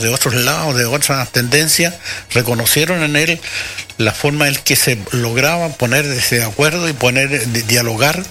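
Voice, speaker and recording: A Chilean man hosting a casual radio talk show. He speaks haltingly, full of fillers, and readily agrees with his co-host. His voice is bright and forceful. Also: medium pitch. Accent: Chilean Spanish. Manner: hesitant, filler-heavy, agreeable.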